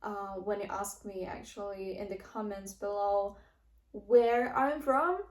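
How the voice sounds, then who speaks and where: A young woman from Russia with a Russian accent, talking earnestly to camera as she teaches English, often pausing on fillers and restarting her sentences. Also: medium pitch, fairly even intonation. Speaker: English-learning vlogger. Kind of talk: casual teaching monologue to camera. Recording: echoey room.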